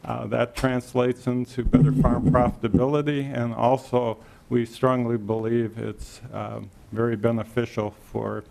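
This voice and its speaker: Gravelly-edged man giving a formal presentation. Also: mid-range voice, level tone, a little slow, darkish tone, rough recording. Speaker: state agriculture department section manager. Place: legislative committee hearing room.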